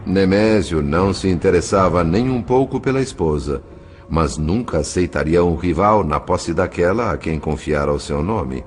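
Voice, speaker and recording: A man reading scripted literary narration as an audiobook narrator, in a measured, formal storytelling manner. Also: sits low, animated.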